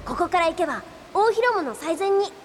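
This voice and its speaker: An excitable little boy speaks in a very high, lively, boyish voice, eager and a bit whiny. The audio drama sidekick sounds plucky yet timid, in long reverb. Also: a little fast, a little hiss or hum. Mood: eager, helpful. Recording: long reverb with faint music.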